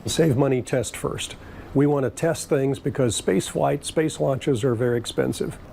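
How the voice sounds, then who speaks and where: Gravelly, mellow man. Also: mid-range voice, a little slow, slight background noise. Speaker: space test facility expert. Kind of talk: explanatory interview answers.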